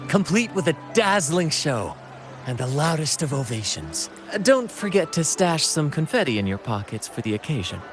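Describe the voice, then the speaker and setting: A man's voice: a little high, big pitch swings, normal speed, music in the background. A man playing a flamboyant, cheerful troupe showman in a video game, with a theatrical, grandiose manner. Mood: grand, showy. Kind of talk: video game character acting.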